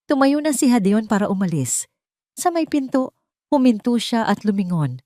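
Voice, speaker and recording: A woman's voice: on the high side, big pitch swings, normal speed, warm tone, no echo, clean studio sound. A woman with a Filipino accent reading a scripted dramatic story as a storyteller.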